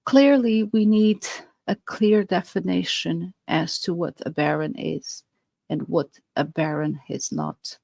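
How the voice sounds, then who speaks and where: A woman with a Portuguese accent talks in an unhurried, earnest podcast monologue. Her low voice carries a gravelly fry. The sound is dry and close, with little room around it. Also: darkish tone, no echo. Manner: earnest, explanatory, with fillers and restarts. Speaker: podcast host.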